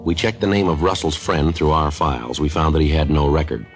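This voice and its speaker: An actor playing a terse, matter-of-fact American police detective who also narrates a 1950s radio drama. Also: male voice, rumbling low, fairly even intonation, fairly quick. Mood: matter-of-fact narration. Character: male Los Angeles police detective, terse and businesslike. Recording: old radio recording with music.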